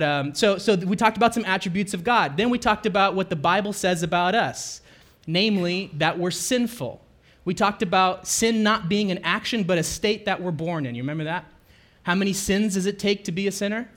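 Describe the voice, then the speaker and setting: A man preaching to his congregation in a relaxed, conversational way, often correcting himself and checking in with listeners, on a microphone through a church sound system. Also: high voice. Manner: conversational, self-correcting, asks the congregation questions. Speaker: pastor. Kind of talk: sermon. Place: church sanctuary.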